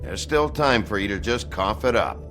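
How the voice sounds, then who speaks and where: Gruff wolf sheriff, low gravelly voice, swinging intonation, menacing, game dialogue. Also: male voice, expressive, moderate pace, music in the background. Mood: cold, insistent. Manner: terse, interrogating, threatening. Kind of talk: video game voice acting.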